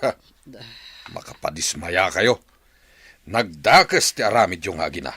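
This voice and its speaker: A man's voice: low-pitched, lively intonation, unhurried, sharp tone, breathy edge, exaggerated voice. An actor with a Filipino accent playing an indignant, disappointed man in a radio drama, speaking in a reproachful, dramatic way. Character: indignant, disappointed man confronting schemers he overheard.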